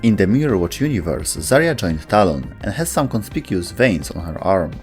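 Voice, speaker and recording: A man's voice: low voice, very animated, moderate pace. A man narrating a scripted video essay about game lore. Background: music.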